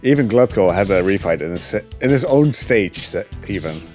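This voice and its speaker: A man giving relaxed live commentary on his speedrun, explaining the route with frequent fillers. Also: fairly low pitch, moderate pace, faint music behind.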